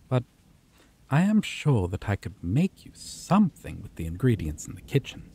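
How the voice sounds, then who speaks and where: A man voicing a possessive, predatory male vampire in an ASMR roleplay, teasing and intimate, with low, purring chuckles and sudden whispers. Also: a little hiss or hum. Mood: doting. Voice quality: low, slow, purring delivery with soft chuckles.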